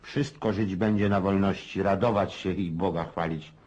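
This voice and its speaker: An actor playing a blustering, self-important old countryside landowner in a radio play, rambling and folksy with a husky voice. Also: male voice, low voice, steady tone, moderate pace, darkish tone, lo-fi sound. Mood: puzzled musing.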